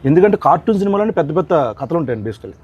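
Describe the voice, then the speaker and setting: A man's voice: a little high, very animated, rapid-fire, darkish tone, husky, muffled recording. A man with a Telugu accent hosting a playful celebrity interview in a bumbling way, tripping over foreign words. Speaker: comic interviewer.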